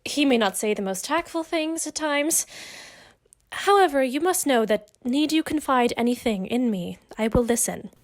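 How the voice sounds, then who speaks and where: A woman plays a spirited noblewoman in a period audio drama. Her voice is fairly high. She speaks formally and with poise, never shy of her opinion. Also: animated, normal speed. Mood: confiding, wry. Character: spirited, outspoken noblewoman, the captain's wife.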